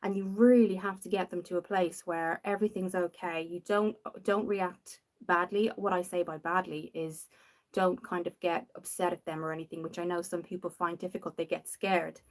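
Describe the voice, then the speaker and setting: A young woman from Dublin with a softened Irish accent, rambling casually while hosting a makeup livestream and answering viewers. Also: on the low side, steady tone, normal speed. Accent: Dublin Irish, softened after years in the UK. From Ireland. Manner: chatty and rambling, reads out viewer comments.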